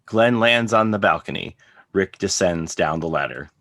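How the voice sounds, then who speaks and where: A man's voice: deep voice, expressive, normal speed, a bit bright, no echo. A man narrating scripted stage directions as the narrator of a drama reading.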